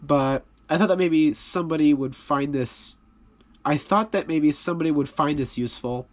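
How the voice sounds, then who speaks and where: A man with a stuffy voice from a cold, casually talking through a repair on his hobby channel in a relaxed, rambling, self-deprecating way. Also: on the high side.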